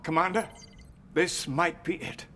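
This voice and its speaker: An actor playing a flustered, pompous older wartime leader in a theatrical, exclamatory way for a video game. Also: male voice, fairly high pitch, fairly even intonation, measured pace, sharp tone, slight background noise. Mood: satisfied, grandiose. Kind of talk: video game cutscene acting.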